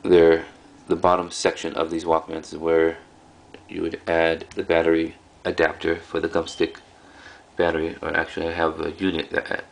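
A man casually showing items as a video host, speaking in halting, offhand short phrases. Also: sits low, completely flat delivery. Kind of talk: casual product showcase. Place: indoors in a room at home. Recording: clearly noisy home recording.

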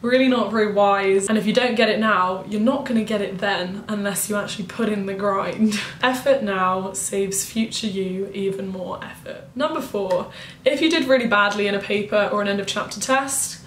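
Chatty young woman, slightly croaky. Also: medium pitch, normal speed, reverberant, a little hiss or hum. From United Kingdom. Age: young adult. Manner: chatty, emphatic, acts out quoted excuses. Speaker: student vlogger. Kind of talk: casual advice vlog. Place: bedroom at home, indoors.

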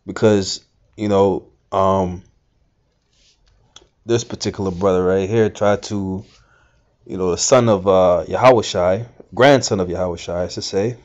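A man's voice: low-pitched, fairly even intonation, unhurried, pauses often, a little mellow, dull audio. A man giving informal scripture teaching, rambling with many fillers and restarts. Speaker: Bible teacher.

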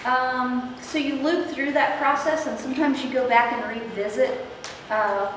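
A woman's voice: high voice, fairly even intonation, measured pace. A middle-aged woman leading an interactive workshop, speaking with frequent ums and checking in with the room. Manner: upbeat, many ums, asks the room questions. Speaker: workshop instructor. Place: large meeting room with projector. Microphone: far camera, no mic. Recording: echoey room, distant, noisy.

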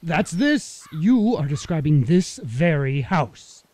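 A folksy small-town mayor played by a man takes his time, with a mellow voice that rises and falls a lot. He is an affable, accommodating salesman in a radio play. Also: a little high, big pitch swings, takes it slowly, exaggerated voice. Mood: eager.

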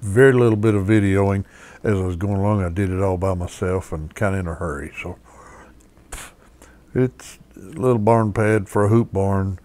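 A man's voice: low-pitched, normal speed. A man narrating his own farm work video outdoors, explaining in a plain, matter-of-fact way. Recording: loud outdoor machinery and wind noise.

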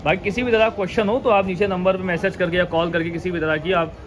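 A man's voice: high-pitched, steady tone, fast, noisy background. A male host chatting eagerly while showing goats on a street at night, recorded on a handheld phone. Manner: enthusiastic, interjects praise often. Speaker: channel host. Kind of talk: casual goat showcase chat.

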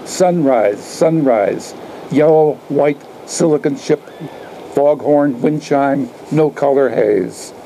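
Older man reading poetry, very mellow voice. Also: mid-range voice, slow pace, gravelly, slight background noise, poor audio. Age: older, 70s. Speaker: poet reading own work. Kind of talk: live poetry reading with asides. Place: outdoor garden reading. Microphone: microphone on a stand.

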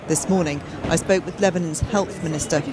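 A woman's voice: deep voice, brisk. A woman delivering a scripted television news report as a correspondent. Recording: noisy street crowd and traffic. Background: crowd and vehicles.

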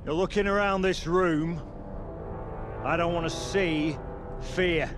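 High-voiced, fiery footballer. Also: male voice, steady tone, takes it slowly. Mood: determined. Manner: emphatic, rallying. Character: fiery, fearless young English footballer rallying his team. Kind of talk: rousing locker-room speech in sports game. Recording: dramatic music under voice.

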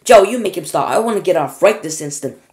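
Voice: mid-range voice, big pitch swings, moderate pace. A reader puts on an old grandmother from a storybook. She is cranky, querulous and distrustful, forever complaining and easily alarmed.

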